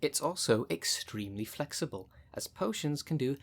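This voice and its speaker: A man's voice: medium pitch, expressive, moderate pace, creaky. A man playing a jaded, sardonic thirty-two-year-old witch in an ASMR roleplay, talking in a dry, sarcastic, conversational way. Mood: jaded, wry. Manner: dry, sarcastic, sweary, sighing.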